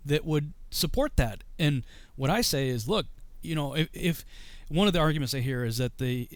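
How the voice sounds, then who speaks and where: A man's voice: on the high side, animated, normal speed, no room sound. A middle-aged man on a video call from his home study, explaining things in a loose, rambling way with many fillers and restarts. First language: English. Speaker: guest explaining scripture.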